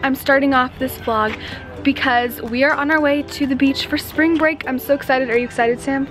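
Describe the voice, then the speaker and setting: A woman's voice: high voice, steady tone, moderate pace, music in the background. A teenage girl chatting to a handheld camera in a casual, upbeat vlog. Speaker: vlogger. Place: car, outdoors and condo bedroom. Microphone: handheld camera at arm's length.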